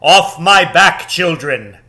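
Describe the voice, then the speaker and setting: A man voicing a great lion who leads an army, calling out orders loudly and urgently in a storybook reading. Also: a little high, lively intonation, slow, heavily acted. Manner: loud, urgent, projecting orders. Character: commanding great lion leader marshalling his army.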